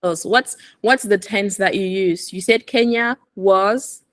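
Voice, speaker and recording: A woman's voice: mid-range voice, takes it slowly, a bit bright, no echo. Woman teaching English one-to-one, dry close sound. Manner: instructive, correcting, enunciates words for repetition. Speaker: English teacher coaching aviation English. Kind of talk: one-to-one language lesson.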